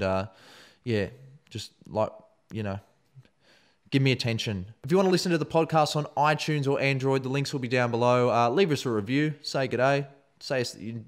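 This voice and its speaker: A young man with an Australian accent hosting a casual podcast interview, loose and jokey and quick to swear. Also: mid-range voice. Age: young adult. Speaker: podcast host.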